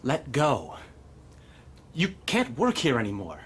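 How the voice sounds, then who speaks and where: Apologetic male boss played by a man, halting and stammering. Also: mid-range voice, huge pitch swings, fairly bright tone, slightly rough, full character voice. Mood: nervous.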